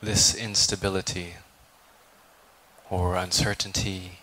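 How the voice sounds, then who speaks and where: A man leading a guided meditation as a teacher, speaking calmly and contemplatively with long, deliberate pauses. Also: deep voice, low-quality recording. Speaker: meditation teacher, Buddhist monk.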